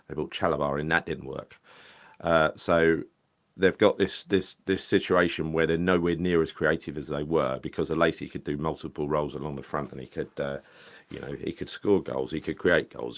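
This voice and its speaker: A man talking casually about football, rambling and correcting himself with lots of fillers. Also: rumbling low. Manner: rambling, hesitant, frequent fillers and self-corrections. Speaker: football podcast host. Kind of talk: casual solo football chat.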